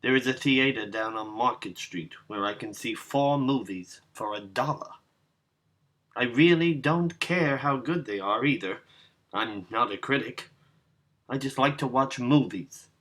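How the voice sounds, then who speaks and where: A man narrating a short story as a literary reading, in measured, deliberate phrases. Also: mid-range voice, a bit bright. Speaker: narrator, reader.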